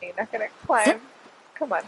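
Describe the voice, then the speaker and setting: A woman's voice: on the high side, expressive, moderate pace, a little hiss or hum, low-quality recording. A woman streaming a game with casual, playful commentary, sounding congested. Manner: playful, giggly, exclamatory. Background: game music.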